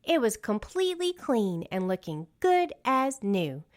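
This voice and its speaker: A woman narrates a children's read-along story. Her delivery is deliberate and unhurried, with very lively, sweeping intonation that marks each phrase for young listeners. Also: fairly high pitch.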